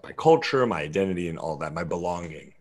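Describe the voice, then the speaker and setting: A man's voice: low-pitched, animated, fast. A young man from West Virginia, a guest filmmaker and actor, talking casually in an interview and rambling with fillers and restarts. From West Virginia, USA. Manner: rambling, self-correcting, many fillers.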